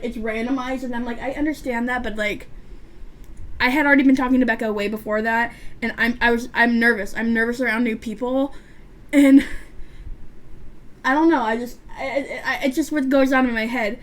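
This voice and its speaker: A woman of about twenty chatting casually while doing her makeup, with a stutter and a lisp and a rambling way of talking. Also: fairly high pitch. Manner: rambling, chatty, frequent restarts and fillers. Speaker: beauty vlogger.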